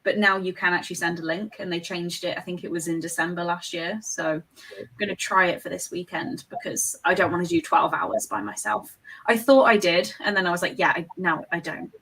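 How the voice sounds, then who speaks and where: A woman's voice: lowish voice, a little fast, a bit bright, a little hiss or hum, low-quality recording. A young English woman hosting a reading livestream, chatting casually with guests and laughing often as she rambles. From England. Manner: giggly, rambling, self-deprecating. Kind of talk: casual chat on a video call.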